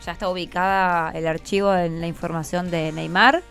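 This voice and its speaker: A woman's voice: on the low side, fairly even intonation, normal speed, fairly bright tone. A woman with a Buenos Aires accent hosts a conversational radio interview, prompting and encouraging her guests with short questions. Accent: Buenos Aires Spanish. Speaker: radio host interviewing schoolchildren. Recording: music playing under the voice. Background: background music.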